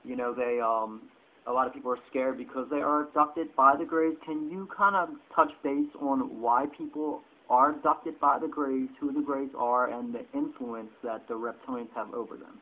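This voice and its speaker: A man's voice: mid-range voice, moderate pace. Hesitant male interviewer, phone-quality sound. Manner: hesitant, rephrasing questions, agreeable. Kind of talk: casual unscripted interview. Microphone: telephone. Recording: tinny, band-limited phone line.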